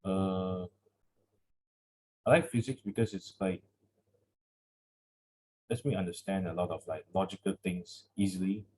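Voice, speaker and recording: A teenage male student with a Malaysian accent speaking informally and haltingly on an online class call. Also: sits low, steady tone, darkish tone, slightly rough, poor audio. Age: teens. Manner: hesitant, halting. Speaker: secondary school student. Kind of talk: informal self-introduction in class.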